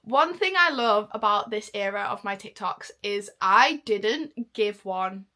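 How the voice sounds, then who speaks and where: A woman's voice: medium pitch, animated, unhurried, background noise. A young woman from Manchester chatting casually in a reaction vlog, mocking herself as she goes. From Manchester, England. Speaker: vlogger.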